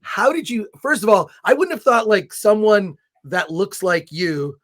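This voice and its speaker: A man's voice: high voice, very animated, unhurried, fairly bright tone. A man hosting a podcast in a casual, enthusiastic chat, his voice a little scratchy. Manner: chatty, enthusiastic, many restarts. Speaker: podcast host.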